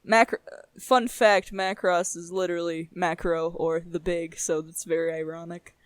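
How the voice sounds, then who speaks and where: A woman's voice: lowish voice, fairly even intonation, normal speed, clean tone, no room sound. A young adult woman co-hosting a casual chat with a teasing, giggly, emphatic way of talking. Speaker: podcast co-host.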